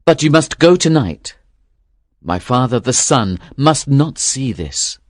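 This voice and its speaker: A man's voice: mid-range voice, very animated, slow, dry recording, studio quality, voice pushed up. A man voicing a frightened male emperor who pleads anxiously, in an audiobook reading. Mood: pleading.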